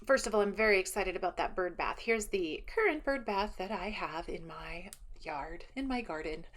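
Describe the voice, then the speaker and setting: A woman's voice: lowish voice, animated, normal speed, fairly bright tone. A woman giving a casual, enthusiastic garden tour outdoors, rambling and thinking aloud as a vlogger does. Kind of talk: casual chatty walk-around narration.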